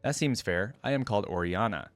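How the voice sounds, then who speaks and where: A man's voice: on the low side, lively intonation, a little fast, dry recording, soft background music. A man voicing a curious, polite young clockwork woman in plain storybook dialogue. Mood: courteous.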